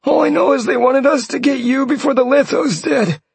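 Man doing a cowering hired mage: high, babbling, desperate pleas in a dry husky voice. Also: normal speed, dry recording. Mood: pleading. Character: panicked beaten male tracking mage, pleading.

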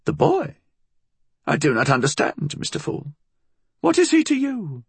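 A man voicing a frail, vicious old blind woman in a story, hissing and husky, with a cunning, formal way of speaking. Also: a little high, huge pitch swings, dry recording, voice pushed up, heavily acted. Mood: sly, calculating. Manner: slow, cunning, menacing, formal.

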